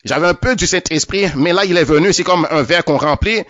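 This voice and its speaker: Halting, earnest man preaching a testimony. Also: high voice, fairly bright tone, no echo. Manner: repeats words often, halting restarts. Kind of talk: spoken religious testimony. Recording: dull lo-fi, dry.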